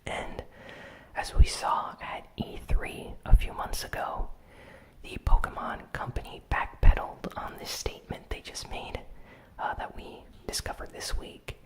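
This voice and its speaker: A host giving a whispered ASMR recap of gaming news, in a soft unvoiced whisper with relaxed, casual asides. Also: low-quality recording. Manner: unhurried, with frequent "you know" and "uh" fillers.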